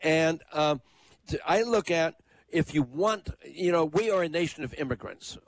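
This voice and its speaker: A man's voice: on the high side, animated, moderate pace, dry recording, poor audio. A man hosting a call-in radio talk show, speaking in a conversational, emphatic way. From United States.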